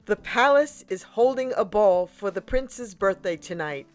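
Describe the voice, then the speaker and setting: Woman as formal, animated palace footman. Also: mid-range voice, a little slow, soft background music, close to an everyday voice. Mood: proclaiming. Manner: announcing, formal. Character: stylish male palace footman, formal herald.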